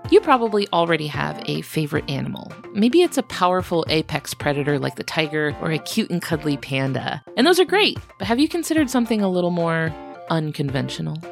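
A woman's voice: deep voice, sing-song, fairly quick, gravelly, soft background music. A woman reading a scripted promo as a podcast host.

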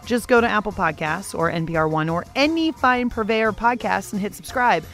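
An American woman speaking as a podcast host, with a playful, jokey way of narrating and interviewing. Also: medium pitch. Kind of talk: scripted radio narration and interviewing.

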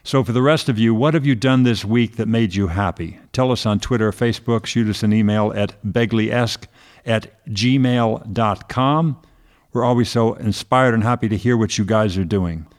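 Low-voiced man hosting a podcast. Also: moderate pace. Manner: dry, joking asides. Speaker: podcast co-host.

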